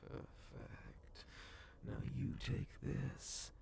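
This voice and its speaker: A man playing a menacing, sadistic cyborg gang boss and bounty hunter in a dark ASMR roleplay, in a breathy, gravelly whisper with slow, taunting delivery. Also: slight background noise. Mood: taunting, commanding. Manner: slow, deliberate, taunting, swearing.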